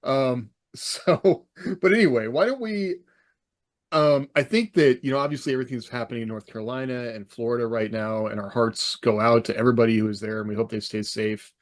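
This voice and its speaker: A man's voice: mid-range voice, brisk. A middle-aged American man hosting a casual podcast, talking in a rambling, self-correcting way. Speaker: podcast host.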